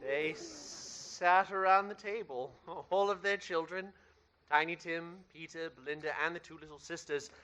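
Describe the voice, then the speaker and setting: A man narrating a scripted radio play in an expressive, theatrical storytelling style. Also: high voice.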